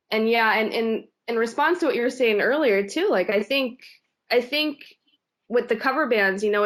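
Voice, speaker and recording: A woman who sings in a cover band, answering interview questions in a casual, hesitant way with lots of fillers and restarts. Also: medium pitch. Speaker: singer in a cover band, guest.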